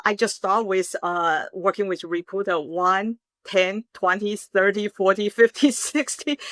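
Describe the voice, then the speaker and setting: A middle-aged woman from Taiwan, telling her story as a startup founder in a casual interview, earnest and animated in bursts. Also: mid-range voice, no room sound.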